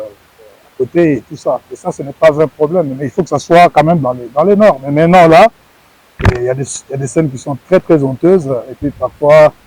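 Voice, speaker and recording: Middle-aged guy from congo talking wrestling on tv, earnest, stumbles and repeats himself, kinda high voice. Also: dark tone, husky, no room sound, rough recording. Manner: earnest, repeats words while searching for phrasing. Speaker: former wrestler, guest. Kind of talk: TV sports interview answers.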